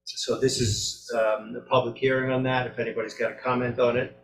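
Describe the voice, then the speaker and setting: A man chairing a village board public hearing, brisk and informal with light jokes, speaking at a table microphone. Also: medium pitch, flat delivery, slight background noise. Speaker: meeting chair running the hearing. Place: village board meeting room. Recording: roomy meeting hall with music.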